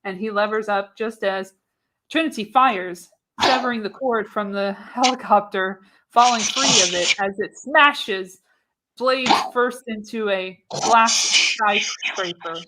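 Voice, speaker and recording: A woman's voice: medium pitch, slow, noisy background. Woman narrating an action script dramatically. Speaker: narrator, show host. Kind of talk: reading screenplay directions for live radio play.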